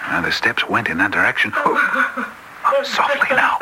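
An actor playing a loyal, cautious gentleman in a vintage radio drama, earnest and a little hesitant. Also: mid-range voice, huge pitch swings, moderate pace. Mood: hushed, tense. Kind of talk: old-time radio drama acting and narration. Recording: noisy vintage radio recording.

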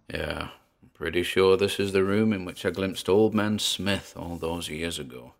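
A man's voice: low voice, creaky, a bit bright, full character voice. An actor playing a frightened paranormal investigator who narrates his exploration aloud in an audio drama. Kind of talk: audio drama acting, found-recording monologue.

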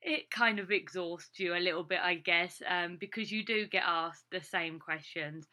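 Chatty Essex woman with a bright voice. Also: fairly low pitch, normal speed. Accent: English, Essex. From England, Essex. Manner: rambling, chatty, lots of "like" fillers, laughs between thoughts. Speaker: vlogger. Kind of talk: casual talking-to-camera chat.

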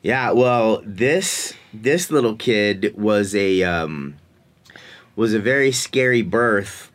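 A man's voice: lowish voice, expressive, slow. A man in his forties, hosting a casual chat, talking in a loose, rambling way and laughing often. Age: 40s. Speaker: podcast host. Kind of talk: casual conversation.

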